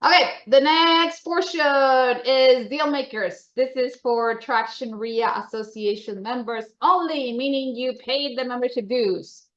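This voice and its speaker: A woman's voice: high voice, a little slow, hardly any pauses, fairly bright tone. Colombian-accented woman, dull lo-fi sound. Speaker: membership association staffer presenting member announcements. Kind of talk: informal presentation to a meeting.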